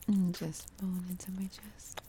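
A noisy recording with soft clicks carries a woman's mostly whispered voice. Her delivery is slow and drawn-out, breathy and soothing, with soft hums in an intimate adult ASMR style. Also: lowish voice, steady tone. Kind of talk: sexually explicit whispered roleplay audio, ASMR.

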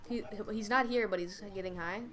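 A woman's voice: medium pitch, a little fast, over music. A woman streaming live, reading out and answering chat in a casual, joking way. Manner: reads and answers chat aloud, chatty and joking.

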